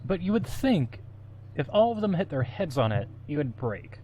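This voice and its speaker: A man's voice: on the high side, very animated, normal speed, dark tone, slight background noise, poor audio. A man joking and teasing while watching a movie with friends over a voice call. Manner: joking, teasing, laughs often. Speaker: co-host watching a film. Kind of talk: casual group commentary over a movie.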